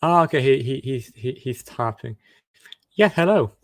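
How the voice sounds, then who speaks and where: Guy chatting with randoms online, keeps tripping over his words, asks lots of questions, middle pitch. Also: animated. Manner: halting, stumbles and restarts often. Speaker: online video chat host. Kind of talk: casual chat with a stranger.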